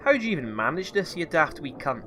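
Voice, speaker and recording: A man playing a gruff, foul-mouthed but kindly doctor with a Scottish accent in a comedy fantasy audio drama. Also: fairly high pitch, sing-song, a little fast, pushed and bright, a little hiss or hum. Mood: blunt, teasing. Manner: blunt, teasing, scolding.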